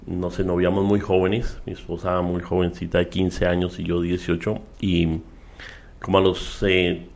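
A middle-aged man with a Colombian Spanish accent speaks in a very low, deep register. He tells his testimony at a measured pace, pausing with fillers, as a guest in an interview. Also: gravelly. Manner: measured storytelling with frequent fillers. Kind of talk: relaxed testimony in conversation.

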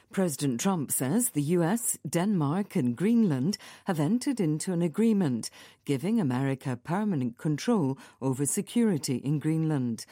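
A woman reading a scripted news bulletin in a measured, formal manner. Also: low-pitched, mellow, no echo, clean studio sound. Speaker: newsreader. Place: broadcast radio studio.

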